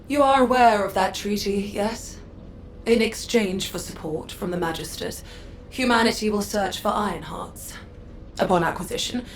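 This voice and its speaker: Haughty, gravelly adjudicator. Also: female voice, medium pitch, a little hiss or hum, low-quality recording. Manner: imperious, condescending, formal. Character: haughty, cold female faction adjudicator, imperious envoy. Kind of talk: scripted game dialogue. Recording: faint game ambience behind voice.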